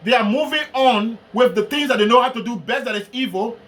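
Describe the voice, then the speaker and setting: A man with a Liberian accent preaches in a slow, deliberate way. His voice sits very high and sounds bright and forceful. He drives each point home with scripture. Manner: slow, emphatic delivery with Bible references. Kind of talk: sermon.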